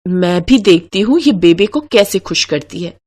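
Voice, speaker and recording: A woman's voice: medium pitch, expressive, normal speed, darkish tone, close to an everyday voice. A woman voicing a jealous, scheming elder sister-in-law who talks in a curt, resentful mutter.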